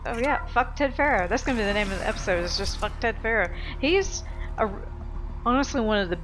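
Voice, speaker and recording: A woman's voice: on the high side, animated, quick pace, over music, rough recording. A woman giving casual, unscripted let's play commentary in a blunt, sweary, opinionated manner. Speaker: gaming video host.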